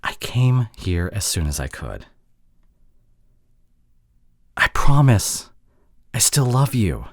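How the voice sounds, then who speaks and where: A man playing an apologetic, insecure boyfriend in an intimate audio drama, speaking haltingly with sighs and breathy murmurs. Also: on the low side, big pitch swings. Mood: pleading. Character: apologetic, pleading long-distance boyfriend, insecure young man. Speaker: audio roleplay voice actor.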